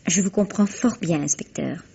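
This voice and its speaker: An actress playing a proud, defensive young stage actress being questioned in a vintage radio mystery, haughty and articulate. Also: female voice, lowish voice, normal speed, creaky, mellow, slightly rough, full character voice.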